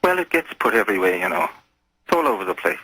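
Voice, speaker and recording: A man calling in to a radio show, talking in a folksy, calmly menacing way. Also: low voice, fast talker, husky, no echo, rough recording. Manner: folksy, calmly menacing, addresses others as lad and lassie. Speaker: phone-in caller. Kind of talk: casual conversational radio call.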